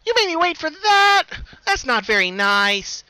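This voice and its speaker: A menacing pony girl doppelganger, played by a man in a dramatic story reading. The voice is very high and bright, bubbly and bouncy, with a huffy, impatient edge and big emphatic delivery. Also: sing-song, unhurried, no echo, full character voice, rough recording.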